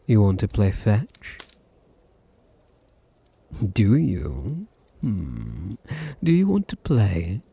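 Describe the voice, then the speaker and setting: A man with a deep British voice playing a possessive, dominant vampire master in an intimate ASMR roleplay, teasing and condescending, with a gravelly, husky murmur. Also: wildly swooping pitch, warm tone, exaggerated voice. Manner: slow, teasing, condescending, drops into breathy murmurs.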